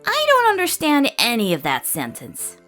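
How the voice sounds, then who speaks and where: A woman's voice: a little high, wildly swooping pitch, normal speed, music in the background. A woman voicing a brash, athletic flying reindeer girl who talks bluntly, for a fan comic dub. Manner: blunt, scolding.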